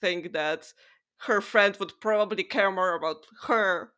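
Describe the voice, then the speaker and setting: A woman's voice: medium pitch, a little slow, bright, forceful tone. A woman co-hosting a podcast, chatting casually about books in an informal, sweary way full of "like". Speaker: podcast co-host. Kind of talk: casual book discussion chat.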